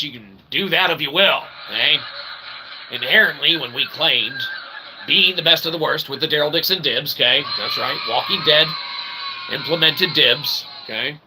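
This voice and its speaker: A man with a slightly high voice full of gravelly creak rambles casually to his audience. He is folksy and self-deprecating, often checking in with little tag questions. The recording has clear background noise. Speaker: host of a web show.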